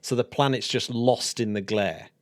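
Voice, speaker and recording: A man's voice: medium pitch, normal speed, no echo, studio quality. A man hosting a casual science podcast, curious and thinking aloud, often repeating words. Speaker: podcast host.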